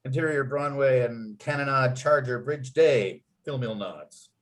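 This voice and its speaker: A man's voice: mid-range voice, unhurried, low-quality recording. A man acting as narrator at a table read, reading out script and stage directions.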